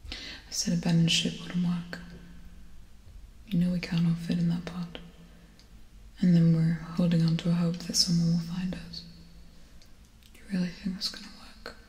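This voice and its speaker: A young woman playing a tough, urgent spaceship officer in a terse, hushed ASMR roleplay. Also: fairly low pitch, completely flat delivery, moderate pace, long pauses, natural voice. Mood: grim, determined. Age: young adult. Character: tough, urgent female spaceship officer tending a wounded crewmate. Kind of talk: ASMR film roleplay, soft-spoken to whispering. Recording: echoey reverberant hall, music behind.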